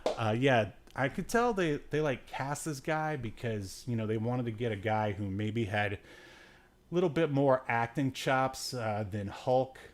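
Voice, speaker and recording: A man's voice: medium pitch, measured pace. A man hosting a podcast, casually reviewing a movie in a rambling, joking way with sarcastic asides. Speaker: podcast host.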